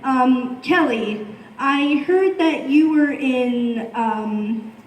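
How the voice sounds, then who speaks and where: A woman asking questions from the audience at a panel, speaking in a hesitant, halting way. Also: high voice. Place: convention panel room. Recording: echoey convention room, distant mic.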